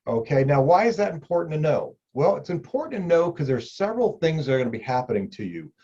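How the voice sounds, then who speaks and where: A man's voice: on the high side, very animated, quick pace, dark tone, husky, highs cut off. A middle-aged man, an insurance agent, explaining benefits to the viewer in a conversational way, repeating key points. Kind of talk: explainer video talk.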